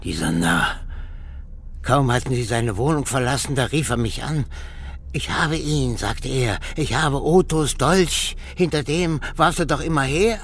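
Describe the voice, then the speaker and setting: An actor playing an arrogant, obsessed older archaeology professor in a radio drama, lecturing and full of contempt. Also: male voice, medium pitch, measured pace, fairly bright tone, breathy edge. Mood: scornful. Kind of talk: German radio drama acting. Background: music.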